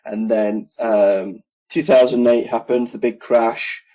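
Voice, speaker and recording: A man in his thirties with an English Midlands accent, explaining his work as an air conditioning engineer in a relaxed interview over a video call. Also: mid-range voice, slow pace, slight background noise. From England. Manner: relaxed, explains in detail with many ums. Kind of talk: casual interview conversation. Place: home room, video call. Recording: dull lo-fi video call audio.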